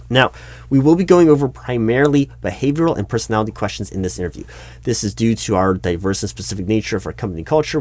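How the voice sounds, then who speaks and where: A man playing an earnest, slightly flustered recruiting manager in an interview roleplay, speaking in wordy, halting corporate jargon. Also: fairly low pitch, darkish tone, no echo, natural voice. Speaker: roleplay performer. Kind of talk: one-sided interview roleplay, soft-edged office talk.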